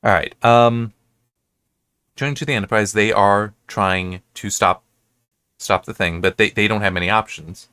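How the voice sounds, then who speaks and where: An American man giving casual, unscripted commentary as a reviewer, halting and correcting himself as he rambles. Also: on the low side, steady tone, pushed and bright, clean tone. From United States. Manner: halting, self-correcting, restarts and digresses often. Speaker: reviewer hosting an episode-by-episode analysis.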